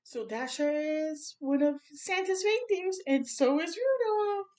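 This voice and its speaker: A woman reading a children's story aloud expressively, with animated asides and questions to young listeners. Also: sits high, slow.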